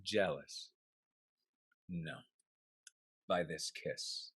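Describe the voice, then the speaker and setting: An actor playing a bitter, cuckolded husband in a Restoration comedy, scheming and sardonic, with florid, declamatory delivery. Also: male voice, sits low, extremely slow, fairly bright tone, husky, no echo, clean studio sound, heavily acted. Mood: cynical, smug. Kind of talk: theatrical Restoration comedy acting.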